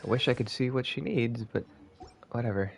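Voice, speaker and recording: A man's voice: medium pitch, a little fast, vocal fry. A man casually commentating as he streams a game, muttering and thinking aloud to himself. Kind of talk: casual live gameplay commentary.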